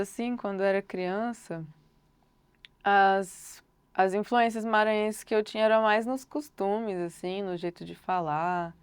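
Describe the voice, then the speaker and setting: A young Brazilian woman, a singer-songwriter, answering interview questions thoughtfully and unhurriedly, often pausing on filler words. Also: medium pitch, smooth, no room sound. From Brasília, Brazil.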